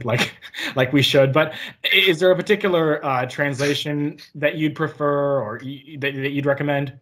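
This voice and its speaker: A young adult man hosting an interview, casual and halting, often repeating words before finishing a thought. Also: on the high side, a bit bright. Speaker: podcast host.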